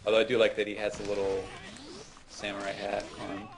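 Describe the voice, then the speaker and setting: A man's voice: on the low side, completely flat delivery, a little fast, a crowd in the background. A man co-hosting a gaming show, making casual comments on the game being played.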